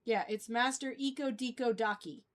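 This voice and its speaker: A high-pitched woman talks as a livestream host in a loose, conversational way. She rambles haltingly, letting thoughts trail off before picking them up again. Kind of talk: casual fan chat.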